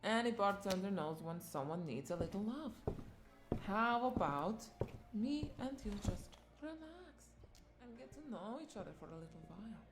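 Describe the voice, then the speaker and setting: A woman's voice: medium pitch, expressive, moderate pace, over music, lightly acted. A woman playing a flirty, doting vampire bartender in a roleplay audio drama, coaxing and affectionate as she speaks to the listener. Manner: coaxing, affectionate, lingering over words with soft chuckles.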